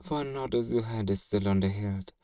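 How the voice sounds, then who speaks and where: A man's voice: lowish voice, dark tone, no echo, clean studio sound, recorded from a distance, natural voice. A man playing a powerful, smug fantasy villain in a flirtatious ASMR roleplay, speaking in a theatrical, formal and teasing way. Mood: amused, teasing. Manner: theatrical, deliberate, formal, teasing, with breathy whispers.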